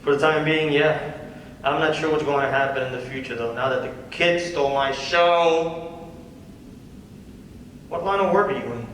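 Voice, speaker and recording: A man's voice: on the high side, moderate pace, pauses often, a bit bright, lightly acted. A man playing an insecure, jealous stand-up comedian with a sulky, sardonic manner in a script reading. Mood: sullen. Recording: very echoey hall, far mic, faint noise.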